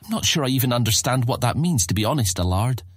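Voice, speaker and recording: Man playing an unsure young man, softly husky. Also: medium pitch, lively intonation, fast talker, no echo, clean studio sound, pitched above the performer's own voice. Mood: puzzled. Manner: hesitant, apologetic, questioning. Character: unsure, polite young male guild newcomer, puzzled. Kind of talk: fantasy audiobook character acting.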